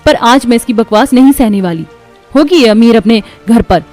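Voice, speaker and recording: A woman acts out a poor but proud young daughter-in-law in a cartoon tale. She is firm and indignant in a fairly high voice, with music behind her. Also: lively intonation, moderate pace, a little mellow, lightly acted. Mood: indignant, defiant. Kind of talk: Hindi cartoon moral story dialogue.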